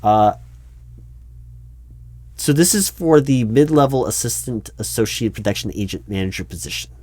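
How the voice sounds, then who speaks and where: A man's voice: mid-range voice, lively intonation, moderate pace, a little mellow, dry recording, close to an everyday voice. A man playing a flustered, officious recruiting manager in a one-sided job interview roleplay, stammering and repeating himself. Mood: flustered, evasive. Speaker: roleplay performer.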